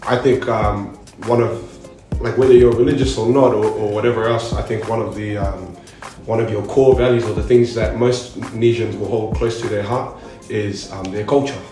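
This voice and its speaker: A man, a hype man and DJ talking earnestly, with pauses and fillers, on a podcast. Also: on the low side, fairly even intonation, warm tone. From New Zealand. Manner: earnest, reflective, many fillers. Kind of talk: casual podcast chat. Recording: roomy room with music underneath.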